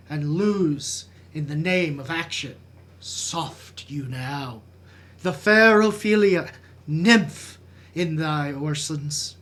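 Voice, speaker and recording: A performer playing a brooding, anguished young prince in a theatrical Shakespearean soliloquy, halting and dramatic. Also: mid-range voice, fairly bright tone. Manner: dramatic, halting, swelling and fading.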